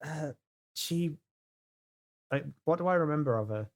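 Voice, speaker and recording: A woman playing a tabletop role-playing game, improvising casual in-character chat with halting, questioning delivery. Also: low-pitched, animated, darkish tone.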